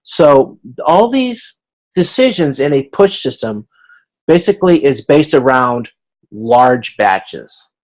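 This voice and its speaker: A man teaching an informal online class, explaining step by step in a halting way and voicing hypothetical exchanges as examples. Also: medium pitch, very animated, a little mellow, poor audio. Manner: explanatory, halting, checks understanding, acts out hypothetical dialogue. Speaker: instructor. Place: video conference class.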